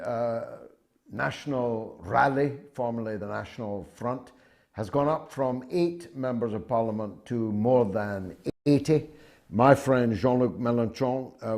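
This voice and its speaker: An older man with a Scottish accent hosting a call-in talk show, speaking forcefully and emphatically with many pauses. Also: medium pitch.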